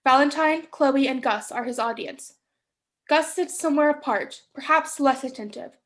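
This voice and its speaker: A woman's voice: high voice, normal speed, fairly bright tone. A young woman hosting a staged play reading and narrating its stage directions. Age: young adult.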